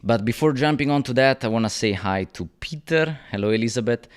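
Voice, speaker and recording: A man's voice: medium pitch, expressive, fairly quick, clean tone. A man in his thirties hosting a casual livestream, greeting viewers by name and chatting with lots of fillers and restarts. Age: 30s. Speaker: YouTube channel host. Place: rented apartment.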